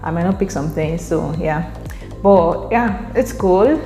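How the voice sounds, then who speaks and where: A woman's voice: lowish voice, measured pace, warm tone. Chatty Nigerian woman in an echoey room. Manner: chatty, laughs often, repeats words while thinking. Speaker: vlogger. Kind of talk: casual vlog to camera. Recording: echoey room, faint music.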